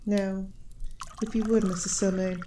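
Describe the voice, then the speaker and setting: A woman playing an elf queen and mother, speaking in a formal, measured, gracious and gently teasing way in a fantasy audio roleplay. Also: medium pitch, little pitch movement, a little hiss or hum, lightly acted. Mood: gracious, inquisitive. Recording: roomy, reverberant sound.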